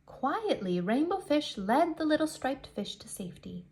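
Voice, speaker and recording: A woman reading a picture book aloud to young children in an expressive way, with deliberate dramatic emphasis. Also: high voice.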